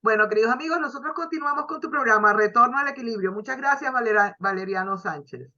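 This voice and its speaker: A woman's voice: fairly high pitch, fast, sharp tone. A woman hosting a radio interview program, effusive and friendly, often restarting her words. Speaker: radio host. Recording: dull lo-fi sound.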